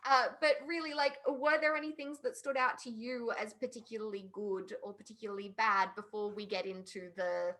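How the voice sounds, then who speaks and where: Female podcast panellist, bright forceful voice, opinionated and emphatic in casual discussion. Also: fairly high pitch, steady tone, a little fast, hardly any pauses.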